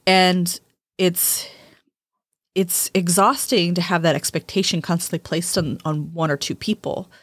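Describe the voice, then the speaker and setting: Woman, podcast co-host, low pitch, dry sound, chatty, laughs often. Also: steady tone, a little slow, no room sound. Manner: chatty, laughs often, repeats words as she thinks. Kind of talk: casual podcast chat.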